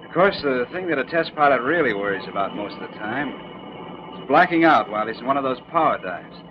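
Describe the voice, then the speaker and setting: An actor playing a confident, combative military test pilot captain in 1940s radio drama, breezy and plain-spoken. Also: male voice, medium pitch, expressive, fast talker, lightly acted. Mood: breezy, assured. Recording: dull vintage radio recording, vehicle noise.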